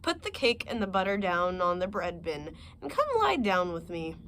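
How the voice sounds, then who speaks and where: A woman voicing a sly, hungry male wolf who disguises his voice as an old grandmother's, high and squeaky. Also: sing-song, normal speed, a bit bright, a little hiss or hum. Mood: coaxing.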